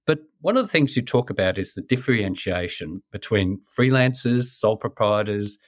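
A man with a fairly low voice hosts a business interview podcast. He opens with a polished, measured introduction before easing into friendly conversation. The sound is dry and close, with no room around it. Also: expressive, no echo. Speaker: podcast host. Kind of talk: scripted intro, then interview chat.

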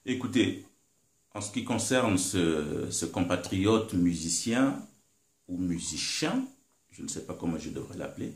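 Indignant man, lively roomy voice. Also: low voice, measured pace. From Republic of the Congo. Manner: indignant, emphatic, rhetorical. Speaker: guest, political commentator. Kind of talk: impassioned interview talk. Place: living room, seated in armchair. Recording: roomy echo.

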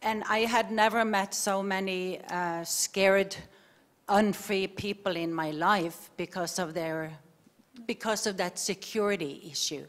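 A woman's voice: medium pitch, fairly even intonation, a little slow. Hesitant woman commenting from floor. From Norway. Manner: hesitant, searching for words, reflective. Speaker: audience member commenting. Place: large lecture hall.

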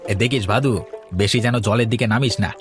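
Man as a low-voiced caring cartoon farmer. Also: brisk, close to an everyday voice. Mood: caring, practical. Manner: gently cautioning, folksy. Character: caring village fish farmer, elder-brother boss. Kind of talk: cartoon dialogue. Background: instrumental music.

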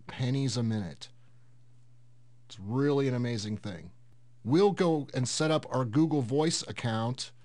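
Man, medium pitch, slow pace, casual tech tutorial host, step-by-step explaining. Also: darkish tone. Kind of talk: casual screen-recorded software tutorial.

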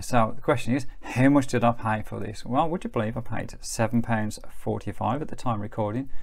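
A man's voice: medium pitch, gravelly. A man giving a casual, chatty review of a small radio at a table indoors. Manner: chatty, relaxed, says "pop it" and "to be honest".

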